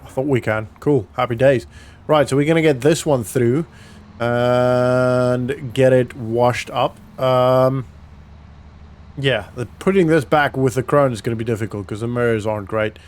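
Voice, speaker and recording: A man's voice: medium pitch, steady tone, a little slow, darkish tone. A man giving casual, informal roleplay commentary as a gaming vlogger, thinking aloud as he goes. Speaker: gaming vlog host.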